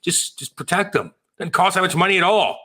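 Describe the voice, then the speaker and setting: American male co-host, punchy bright tone, blunt, profane, casual banter. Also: high-pitched, animated, a little fast. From Missouri, USA. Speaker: podcast co-host.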